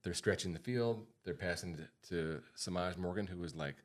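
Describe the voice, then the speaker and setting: A man's voice: sits low, moderate pace. An American man hosting a podcast as a financial advisor, talking informally and repeating points for emphasis. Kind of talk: casual podcast talk.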